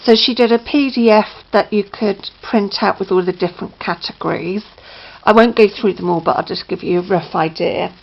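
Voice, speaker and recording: A woman with a slightly croaky voice, chatting casually at home as she shows her craft book, with lots of ums and self-corrections. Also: medium pitch, moderate pace, dull audio. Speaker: crafting vlogger. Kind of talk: casual show-and-tell. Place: indoors at home, downstairs near a patio.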